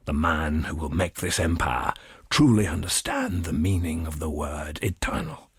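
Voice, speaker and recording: Game villain: scheming, fervent thaumaturge, husky and very breathy, grandiose, close dry sound. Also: male voice, sits low, no room sound, heavily acted. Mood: fervent, persuasive. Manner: slow, deliberate, grandiose. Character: arrogant, obsessive male thaumaturge, fervent and scheming. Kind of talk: dramatic scripted game monologue.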